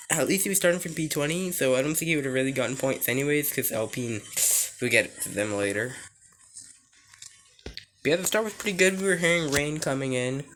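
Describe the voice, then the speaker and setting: A man with a hoarse, nearly lost voice, giving an excitable, rambling casual reaction to a race. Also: mid-range voice, a little hiss or hum. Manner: excitable and rambling, many restarts and "like"s. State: hoarse, voice almost gone. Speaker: racing fan reviewing a race.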